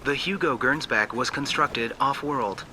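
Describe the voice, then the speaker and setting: A man's voice: medium pitch, measured pace, bright, forceful tone, slight background noise. A man voicing the emotionless computer of an emergency beacon in a video game, formally reciting technical data. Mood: detached, factual. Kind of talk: scripted game dialogue, status report.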